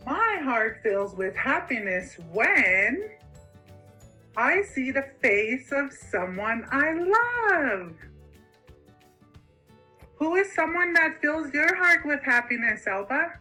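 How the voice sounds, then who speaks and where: A woman's voice: high voice, sing-song, slow, pauses often, pushed and bright, soft background music. A young woman, speaking as a student teacher presenting and reading aloud. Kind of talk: informal presentation and read-aloud.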